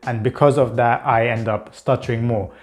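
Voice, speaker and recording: A man's voice: medium pitch, fairly even intonation, moderate pace. A young man hosting a personal vlog, talking earnestly about his week, with repeated words and occasional blocks from a stutter. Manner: reflective and earnest. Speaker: channel host.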